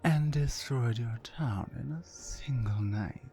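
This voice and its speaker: A woman voicing a dominant, mean orc warrior woman in an ASMR roleplay, slow, commanding and condescending, with a low breathy voice that often nears a whisper. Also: mellow, faint music behind, full character voice. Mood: bitter, menacing.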